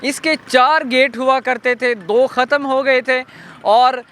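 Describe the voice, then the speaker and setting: A man with a Pakistani accent presenting a walk-through documentary on location, explaining in a halting way. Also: very high-pitched, steady tone, fairly bright tone. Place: on location in an old fort's streets.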